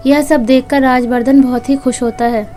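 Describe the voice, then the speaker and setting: A woman's voice: high voice, steady tone, normal speed, mellow. A woman narrating a children's moral story from a script, as a storyteller. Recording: music behind the voice. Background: background music.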